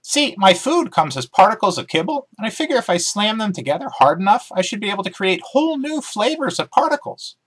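A man voicing an eager, scheming female pet dog who argues excitedly about physics in a storybook reading. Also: high-pitched, brisk, hardly any pauses, breathy edge, pitched above the performer's own voice, full character voice. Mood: excited, boastful. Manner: excitable, argumentative.

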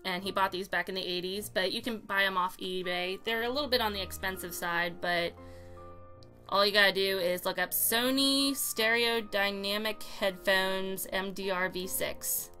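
A woman's voice: mid-range voice, pushed and bright. A young American woman giving a casual, rambling, unscripted tutorial at home in an informal way. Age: young adult. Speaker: tutorial host, amateur fandubber. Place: cluttered room at home.

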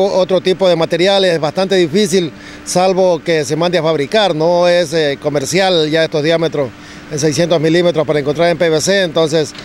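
A middle-aged man with an Ecuadorian accent, a public works director explaining repairs in an outdoor interview, halting with many fillers and restarts. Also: on the high side, slight background noise. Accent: Ecuadorian Spanish. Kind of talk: on-site news interview. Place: outdoors at a street excavation site. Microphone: handheld reporter mics held close.

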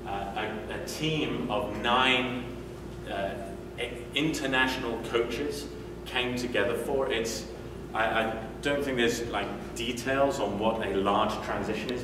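British man talking in an echoey meeting room. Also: lowish voice. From United Kingdom. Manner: conversational, frequent "uh" fillers and restarts. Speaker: conference speaker. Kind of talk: conference talk. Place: hotel meeting room, indoors, medium size. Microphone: room mic from a distance. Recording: echoey room, distant mic, noisy.

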